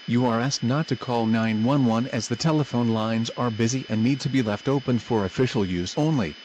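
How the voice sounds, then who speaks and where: A man reading a scripted emergency broadcast announcement in a formal, measured manner. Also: mid-range voice, slight background noise, poor audio.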